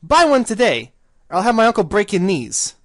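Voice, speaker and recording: A man playing a pushy, threatening pony newspaper pitchman in a radio play advert. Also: wildly swooping pitch, a little fast, a bit bright, heavily acted.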